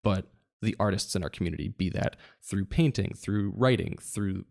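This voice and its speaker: A young man from New Jersey, hosting an interview for a museum and speaking earnestly and haltingly, with frequent restarts. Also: low voice, vocal fry, darkish tone. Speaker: interviewer, museum content strategist. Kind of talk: casual interview.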